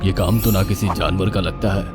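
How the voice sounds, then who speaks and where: A man voicing a grave middle-aged police inspector in a cartoon story, speaking low and measured. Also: fairly even intonation, lightly acted. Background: background music.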